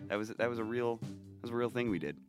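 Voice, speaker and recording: A man's voice: mid-range voice, fast, over music. A man hosting a podcast in casual chat, a bit nasal from a cold, rambling and restarting his sentences with jokes. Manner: rambling, self-interrupting, joking. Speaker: podcast host.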